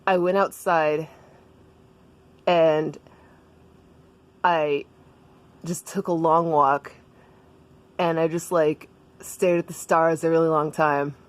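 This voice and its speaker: A woman's voice: low voice, steady tone, slow pace, long pauses. A woman playing a laid-back, blunt schoolgirl slacker in a comic story, slangy and casual, with a drawling delivery. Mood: dazed, earnest.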